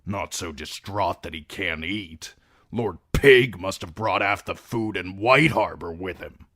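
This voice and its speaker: Man doing a brutish young lord, deep, growling, sneering, complaining. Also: a little slow, fairly bright tone, no echo.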